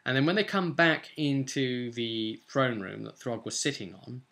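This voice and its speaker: A man's voice: mid-range voice, normal speed, gravelly, fairly bright tone. A British man in his twenties talking casually to camera in a rambling, conversational way as a game master, recorded in a room at home. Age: 20s. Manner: rambling, conversational, with tag questions. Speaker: game master recapping sessions as vlogger. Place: small indoor room at home.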